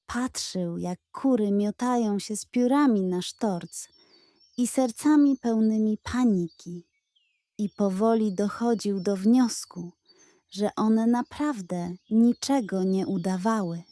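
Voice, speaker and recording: Slow-paced woman storyteller for children. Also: mid-range voice, dry recording. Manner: expressive, unhurried storybook delivery. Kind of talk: scripted narration of a children's audio tale.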